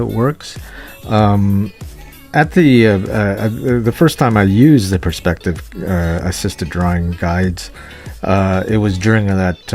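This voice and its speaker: A man presenting an unscripted digital art tutorial voice-over, thinking aloud in a hesitant, halting way. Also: sits low, darkish tone. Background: guitar music.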